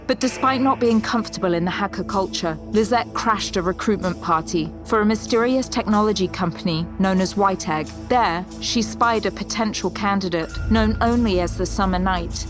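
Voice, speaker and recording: Female narrator, scripted sci-fi drama, medium pitch, music bed. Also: moderate pace, hardly any pauses. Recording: music behind.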